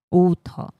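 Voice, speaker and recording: A woman's voice: warm tone, no echo, clean studio sound. A young woman whose first language is Spanish, hosting a casual livestream chat, teasing and mock-boastful, laughing often. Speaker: host.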